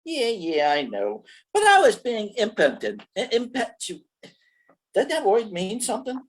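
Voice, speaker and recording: A man's voice: sits high, huge pitch swings, unhurried, full character voice. A performer playing a dim, lovestruck male gangster in an old-time radio play, stammering and bumbling in slangy talk. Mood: lovestruck, flustered.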